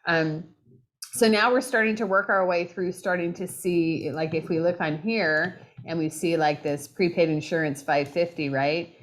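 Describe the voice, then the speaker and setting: A woman instructor gives a recorded lecture while losing her voice. Her voice sits a little low, and she keeps a loose, conversational pace, checking in with the listener as she goes. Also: steady tone, moderate pace. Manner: conversational, checks in with "right?" and "okay?". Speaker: accounting instructor.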